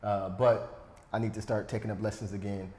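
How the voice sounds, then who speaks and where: A man hosting a casual podcast interview, talking in an easygoing way and tossing in self-deprecating jokes. His voice sits low and bounces around a noticeably echoey room. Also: little pitch movement, a little fast, mellow. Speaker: podcast host.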